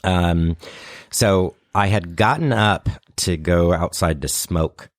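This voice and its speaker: A man's voice: low voice, takes it slowly, no echo, studio quality. A man telling a casual comic story in a conversational, self-deprecating way, as a comedian guest on a podcast.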